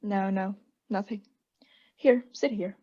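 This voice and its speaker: Breathy, unhinged caretaker played by a young woman. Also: mid-range voice, fairly even intonation, dark tone, muffled recording. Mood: uneasy, distracted. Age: young adult. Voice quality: breathy, often dropping to a whisper. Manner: halting, insistent, self-justifying. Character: guilty, unhinged caretaker, anxiously feigning calm politeness. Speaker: student actor. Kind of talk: radio play acting.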